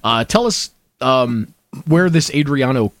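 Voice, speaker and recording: A man's voice: medium pitch, lively intonation, measured pace, fairly bright tone, dry recording. A man hosting a podcast, chatting and teasing his guests with frequent laughter. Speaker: podcast host. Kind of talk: casual podcast chat.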